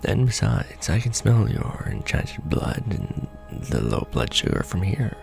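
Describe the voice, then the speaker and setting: A man playing a courtly, ancient vampire lord in an ASMR roleplay, speaking intimately and formally in a gravelly, often breathy half-whisper with measured pauses. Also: low voice, very animated, darkish tone, heavily acted. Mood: apologetic, courteous. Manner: formal, archaic wording, measured delivery with pauses. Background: music.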